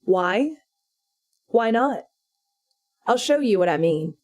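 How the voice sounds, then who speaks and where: A woman voicing a mischievous male devil who taunts and mocks the listener loudly. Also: medium pitch, lively intonation, slow pace. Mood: taunting. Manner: taunting, loud and sly.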